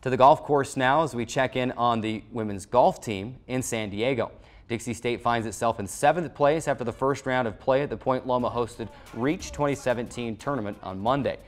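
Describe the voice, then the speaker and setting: A young American man hosts a sports recap from a studio desk. He reads scores and stats in a mid-pitched voice, with faint music underneath. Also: normal speed. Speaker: sports show host.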